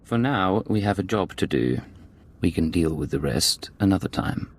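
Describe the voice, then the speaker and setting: An actor playing a cool, self-assured secret agent in a video game, clipped and composed. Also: male voice, low-pitched, expressive, moderate pace, slightly rough. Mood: composed, discreet.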